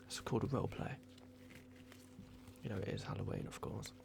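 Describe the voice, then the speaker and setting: A man voicing a playful, fussy vampire doctor in an ASMR roleplay, murmuring halting reassurances in a breathy whisper. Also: fairly low pitch, fairly even intonation, noisy background. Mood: nervously reassuring. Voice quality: breathy whisper with gravelly creak.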